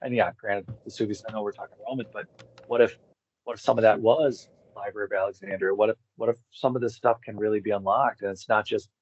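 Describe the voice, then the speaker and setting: A man in a casual speculative discussion, theorizing in a rambling, animated way. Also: lowish voice, fast, husky, lo-fi sound.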